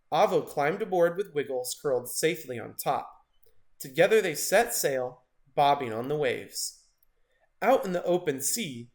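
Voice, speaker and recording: A man narrating a children's story in an expressive, dramatic read-aloud style. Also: fairly high pitch.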